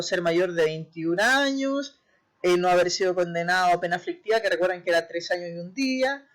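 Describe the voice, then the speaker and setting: Chilean history teacher, online class, patient step-by-step explainer, frequent check-ins, mid pitch. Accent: Chilean Spanish. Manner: repeats key terms, brief hesitations and self-corrections. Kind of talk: recorded online class lecture.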